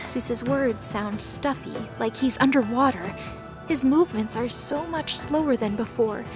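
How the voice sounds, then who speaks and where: A woman's voice: a little high, unhurried, music in the background. A woman reading dramatic scripted narration for a fantasy audio drama.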